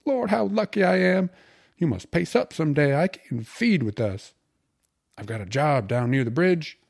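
A man's voice: on the low side, animated, moderate pace, a little mellow, husky, pitched above the performer's own voice. A man voicing a cheerful, cocky young suitor who talks in eager, colloquial slang for an audiobook. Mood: jubilant. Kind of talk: audiobook character voice.

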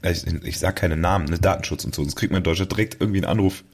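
Man, lowish pitch, husky tone, wry joking delivery, casual podcast co-host. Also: very fast. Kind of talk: casual chat.

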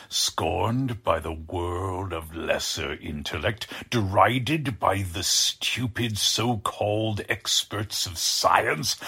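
An actor playing a deranged, boastful scientist in 1940s radio drama style, pompous and theatrical, with a husky, breathy voice. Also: male voice, fairly low pitch, a bit bright, heavily acted. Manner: theatrical, pompous, savoring words slowly. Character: deranged, boastful male mad scientist, grandiose and sinister.

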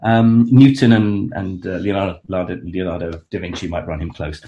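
A man's voice: deep voice, steady tone, brisk, dark tone, dull audio. A man who is an author, appearing as a guest expert and explaining history in a conversational way, full of restarts and fillers. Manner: hesitant, many restarts and fillers, enthusiastic.

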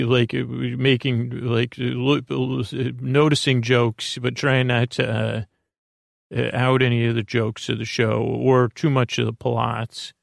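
Creaky-voiced man, rambling soothingly. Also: mid-range voice, no echo, studio quality. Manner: halting, meandering, self-deprecating; lulling, soothing. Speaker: podcast host. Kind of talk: rambling bedtime podcast monologue.

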